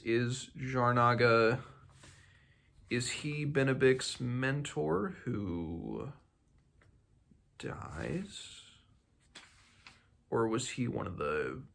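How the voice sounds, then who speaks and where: A man hosting a book read-along, talking casually and haltingly as he thinks aloud. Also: fairly low pitch, gravelly, fairly bright tone.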